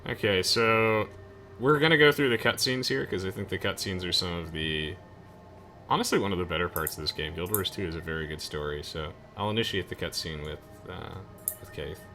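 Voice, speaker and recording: A man's voice: sits low, a little fast, pushed and bright, faint music behind. A young man giving casual, chatty, enthusiastic game commentary as host. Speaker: host of a let's play.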